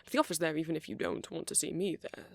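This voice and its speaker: Man playing a soft low-key flirty vampire, close and dry. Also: steady tone, quick pace, creaky, dry recording. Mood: friendly, gently flirty. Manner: soft-spoken, polite, coy, chuckling. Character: friendly flirty gentle male vampire, shyly hopeful. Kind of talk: ASMR roleplay.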